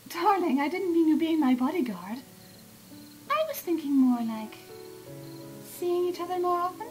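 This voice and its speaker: An elegant, coy cartoon unicorn lady acted by a woman, speaking in a high and animated voice. She is genteel and flirtatious, with music clearly playing behind her. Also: moderate pace, rough recording. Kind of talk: dramatic fan fiction audio reading.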